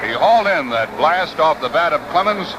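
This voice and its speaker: A man's voice: fairly high pitch, normal speed. A man with an American accent calling baseball play-by-play as live radio sports commentary on a 1960s broadcast. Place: outdoor ballpark broadcast booth. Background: steady noise and outdoor ambience.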